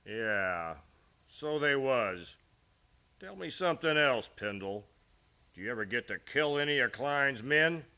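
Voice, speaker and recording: A man's voice: medium pitch, animated, a little slow, pushed and bright, clear, resonant tone. A man playing a menacing, mocking cowboy bully in a Western radio play, with a folksy, taunting American drawl. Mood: sly, mocking.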